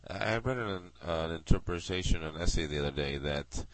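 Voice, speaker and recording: A man's voice: low-pitched, animated, brisk. A man hosting a rambling solo internet radio show, talking into a close mic, sounding drowsy, nasal and congested, wandering from thought to thought and cutting himself off. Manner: drowsy, meandering, self-interrupting. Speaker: podcast host. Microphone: close mic, very near the lips. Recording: loud background hum.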